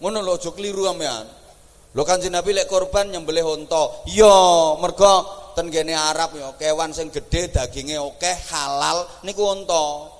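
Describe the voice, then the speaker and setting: A man with an East Javanese accent, giving a humorous religious sermon in an animated, teasing way. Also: fairly high pitch, a little slow. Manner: joking, animated, teasing the audience. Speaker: preacher. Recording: echoey hall.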